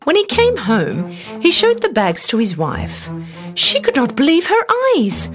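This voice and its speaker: A woman narrates a children's tale in an expressive, dramatized way. Her pitch moves around a great deal, and music plays clearly behind her voice. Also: a little slow. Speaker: storyteller narrator. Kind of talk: scripted children's story narration.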